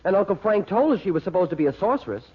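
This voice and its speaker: A cocksure, argumentative American teenage boy in an old radio drama. His voice is high and swoops around a lot, slightly husky, on a muffled vintage recording. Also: big pitch swings, very fast, heavily acted. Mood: suspicious, insistent. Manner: slangy, argumentative. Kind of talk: 1940s radio drama acting.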